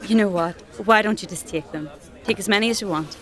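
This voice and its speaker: An actress playing a deferential refugee bakery shopkeeper in a dark fantasy audio drama, hesitant and careful in her speech. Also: medium pitch, fast, lightly acted. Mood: plain, obliging.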